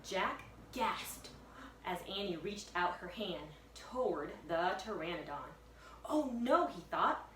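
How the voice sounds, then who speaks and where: A woman, a first-grade teacher, reading aloud to young pupils with expressive, suspenseful storytelling. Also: lowish voice, slow. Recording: echoey room, distant mic, faint noise.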